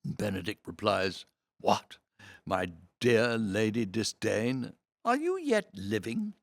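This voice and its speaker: A man reads programme notes aloud with a theatrical flourish, his pitch moving freely from phrase to phrase. He phrases things deliberately and leans into key words for emphasis. The recording is close and dry. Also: very animated, creaky, no echo. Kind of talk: expressive read-aloud of programme notes with brief asides.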